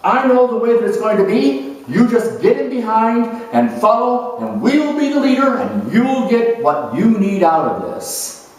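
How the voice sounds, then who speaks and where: A man's voice: extremely high pitch, big pitch swings, measured pace, a little mellow, pitched above the performer's own voice, exaggerated voice. A man playing a vain, pushy car-dealership boss who talks in a boastful, commanding, hard-selling way. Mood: commanding, smug. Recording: echoey room, distant microphone.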